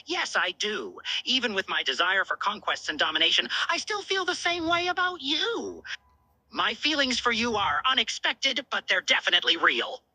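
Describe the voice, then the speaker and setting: A woman's voice: fairly high pitch, very animated, normal speed, bright, forceful tone, slightly rough, no echo, voice pushed up, exaggerated voice. A woman playing a small male alien commander, smug and teasing but affectionate, in a fan fiction dub. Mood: tender, smitten. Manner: teasing, smitten, tender.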